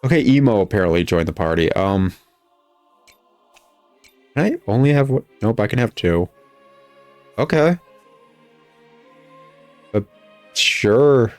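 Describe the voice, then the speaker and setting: Guy w a lowish voice rambling sarcastic critiques over a video game, kinda fed up, music in the back. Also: expressive, slow pace, long pauses, no room sound. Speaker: gaming video host, critic.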